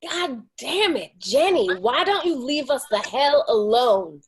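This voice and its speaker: A man's voice: very high-pitched, big pitch swings, takes it slowly, voice pushed up, exaggerated voice. A man reading a screenplay aloud, voicing a bitter, scheming woman who runs a saloon, and snapping at others with contempt. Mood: hostile.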